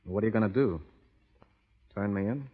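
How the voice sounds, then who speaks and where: Radio western rustler: low-pitched, easygoing, folksy Western American, devil-may-care; muffled vintage recording. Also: male voice, normal speed, husky. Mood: flippant, defiant. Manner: flippant, folksy. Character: reckless, easygoing cowhand turned cattle rustler. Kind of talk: 1930s-40s radio western acting. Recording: muffled old radio recording, hall reverb.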